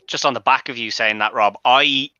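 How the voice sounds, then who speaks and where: A man's voice: medium pitch, moderate pace, bright, forceful tone, smooth, no echo, lo-fi sound. A man debating films casually on a show, making emphatic, argumentative points with some swearing. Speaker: panelist and host of a movie debate show.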